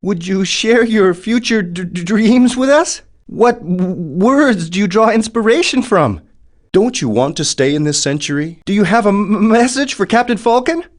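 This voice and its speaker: A man's voice: sits high, lively intonation, moderate pace. A man voicing an eager, showy TV reporter in a video game, putting questions to futuristic racers in a theatrical manner. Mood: nervous, stammering. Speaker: race interviewer. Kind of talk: video game voice acting, sports interview.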